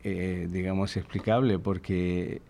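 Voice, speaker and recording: Low, hesitant Chilean-born man in his sixties. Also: level tone, a little slow, creaky, dark tone. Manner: thoughtful, hesitant, searching for words. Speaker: guest, father of a large family. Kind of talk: reflective interview answers.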